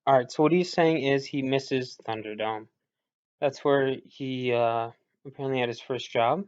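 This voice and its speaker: Male game commentator, mid-pitched, informal and a little hesitant, explaining as he thinks aloud. Muffled lo-fi sound. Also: moderate pace, darkish tone, smooth. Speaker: gaming video commentator. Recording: dull lo-fi recording.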